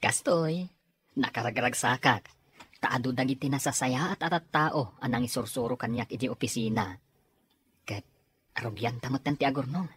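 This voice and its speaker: An actor playing a mild, apologetic working husband in an Ilocano radio drama, with a husky voice and a Filipino accent. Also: male voice, medium pitch, moderate pace, fairly bright tone. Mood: earnest, grateful.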